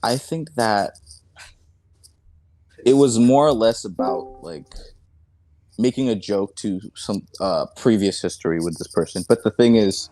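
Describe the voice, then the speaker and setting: Hesitant, halting man with a deep voice. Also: a little mellow, dry recording, faint music behind. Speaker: content creator answering accusations.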